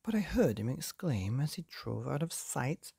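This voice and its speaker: A man reading a bedtime story expressively, in a soft, hushed, deliberate manner with dramatic pauses and a breathy, gravelly voice. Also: medium pitch, sing-song, no room sound, clean studio sound. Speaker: podcast host and storyteller.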